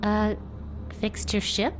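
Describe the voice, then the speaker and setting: A woman's voice: medium pitch, flat delivery, takes it slowly, noisy background, lightly acted. An actress playing a plucky, curious teenage baker girl in a video game, breezy and casual. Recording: game music behind the voice. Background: game background music.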